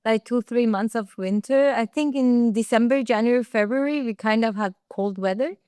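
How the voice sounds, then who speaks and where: A woman's voice: fairly high pitch, moderate pace, darkish tone, clear, resonant tone, dry recording, clean studio sound. A woman from Iran with an Iranian accent, talking casually as a podcast co-host. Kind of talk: casual conversational podcast chat.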